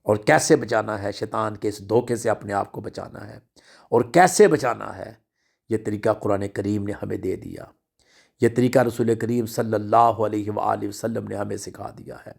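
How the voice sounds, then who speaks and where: A man preaching an Islamic sermon in an emphatic, exhorting way, with a gravelly voice and rhetorical repetition of phrases. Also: fairly low pitch, fairly even intonation, normal speed. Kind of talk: religious sermon with recited citations.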